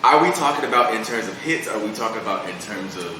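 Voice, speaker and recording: A man's voice: fairly low pitch, lively intonation, fast, pushed and bright. A man chatting casually as a guest, with informal, slangy and playful phrasing. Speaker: guest, singer-songwriter. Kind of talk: casual chat. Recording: echoey room, distant mic, noisy background.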